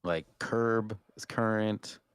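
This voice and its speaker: A man's voice: lowish voice, fairly even intonation, slow, clean tone, dry recording. A man, chatting casually as a podcast co-host in a laid-back, matter-of-fact way. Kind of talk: casual chat.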